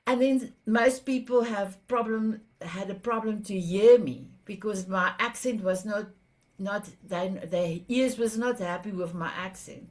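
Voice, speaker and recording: South african woman host, earnest and halting, lots of restarts. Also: mid-range voice. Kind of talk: conversational interview.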